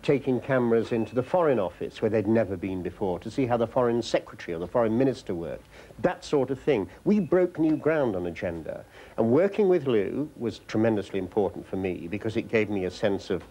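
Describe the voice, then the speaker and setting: A man talking reflectively and emphatically in an interview as a political correspondent, letting the ends of phrases trail away quietly. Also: lowish voice, expressive, fairly quick, rough recording. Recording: clear background noise.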